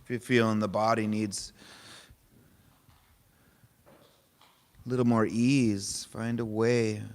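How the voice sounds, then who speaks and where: A man leading a guided meditation, speaking in short, unhurried, gently informal phrases with pauses between them. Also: fairly low pitch. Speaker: meditation teacher. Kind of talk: guided meditation, spoken softly to a group.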